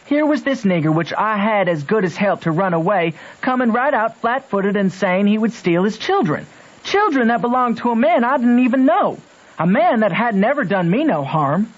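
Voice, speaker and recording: A man's voice: high voice, big pitch swings, normal speed. A man playing a conscience-torn backwoods boy with a Southern American accent and folksy, earnest speech in an old-time radio drama. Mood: troubled. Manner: folksy, earnest, ungrammatical rustic talk. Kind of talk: old-time radio drama, first-person narration and dialogue. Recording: dull old radio recording.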